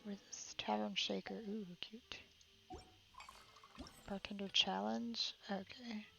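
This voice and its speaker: A woman's voice: medium pitch, little pitch movement, slow, vocal fry, muffled recording. A woman chatting casually and a little giggly as she livestreams a video game. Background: game music.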